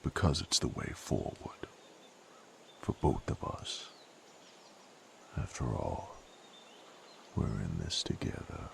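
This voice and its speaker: A male actor playing a brooding, rough knight with a violent past in an audio roleplay, speaking wearily in folksy, rough diction. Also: huge pitch swings, slow pace, long pauses, a little hiss or hum, exaggerated voice. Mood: weary, resigned. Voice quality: very low, gravelly. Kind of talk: dramatic character monologue, audio roleplay.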